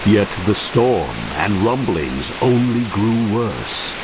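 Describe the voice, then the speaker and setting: An actor playing a grave, measured storyteller recounting a tragic village legend in a video game. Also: male voice, fairly low pitch, very animated, low-quality recording. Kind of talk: scripted dramatic game narration. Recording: game audio under loud music. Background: loud game music.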